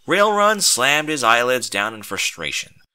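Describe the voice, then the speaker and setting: A man's voice: mid-range voice, very animated, unhurried, pushed and bright, smooth. A man narrating a comedic fan-fiction story with mock-epic, theatrical emphasis.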